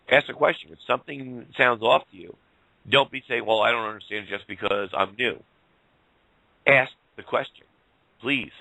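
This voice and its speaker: A male host of a casual call-in talk show, rambling in a folksy way and getting hoarse. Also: on the low side, bright, forceful tone, no room sound, poor audio. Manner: rambling, folksy, laughs often.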